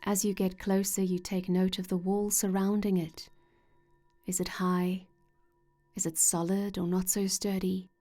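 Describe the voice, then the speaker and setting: A woman guides a meditation at a very slow, unhurried pace. Her voice is soft-toned and mellow, sitting at a medium pitch. She speaks gently and soothingly, leaving measured pauses, over faint music with singing-bowl tones. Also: level tone. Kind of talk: guided meditation narration, scripted.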